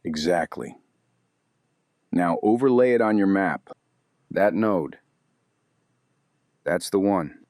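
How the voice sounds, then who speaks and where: An actor playing a weary, guarded older ex-activist who talks tersely and sighs heavily. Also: male voice, deep voice, lively intonation, takes it slowly, long pauses, a little mellow, no echo, clean studio sound. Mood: grave. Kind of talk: audio drama acting.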